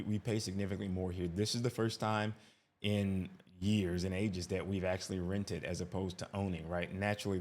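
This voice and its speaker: A man's voice: sits low, a little mellow. A man giving casual reaction commentary as an expat vlogger, talkative, often tagging "right?" and restarting his sentences.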